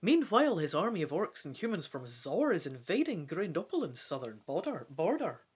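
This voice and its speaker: A woman's voice: deep voice, very animated, normal speed, husky, full character voice. A woman playing an earnest, determined young royal adventurer in a dramatic storybook reading, with an emphatic, declamatory delivery. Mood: earnest, urgent.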